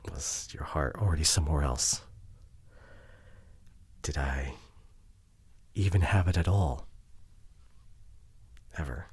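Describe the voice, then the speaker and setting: A man playing a regretful, wounded boyfriend pleading with his partner in an ASMR audio drama, halting and emotional, often dropping to hushed tones. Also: a bass voice, husky, dry recording, heavily acted. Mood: wounded, dejected.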